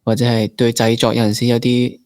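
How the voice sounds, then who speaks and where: A young man with a Hong Kong Cantonese accent talks at a medium pitch in a dry recording. He interviews conversationally, musing in a reflective way with some English mixed in. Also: monotone, fairly quick, darkish tone, clear, resonant tone, no echo. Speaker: interviewer, fellow actor.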